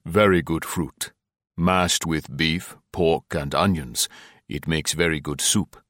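A man voicing a courteous male island driver in an audiobook, measured and deferential. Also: rumbling low, steady tone, fairly bright tone, no echo, clean studio sound, heavily acted. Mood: informative, polite. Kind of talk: audiobook character voice.

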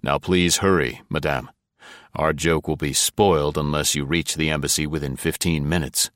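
A male narrator playing a tough, cool-headed American agent who speaks low and deliberately. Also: fairly even intonation, a bit bright, dry recording, clean studio sound.

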